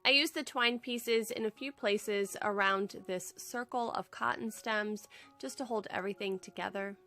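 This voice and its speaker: A woman's voice: medium pitch, normal speed, pushed and bright, soft background music. Woman with a head cold. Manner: friendly, chatty and upbeat. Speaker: home decor vlogger. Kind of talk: casual how-to narration.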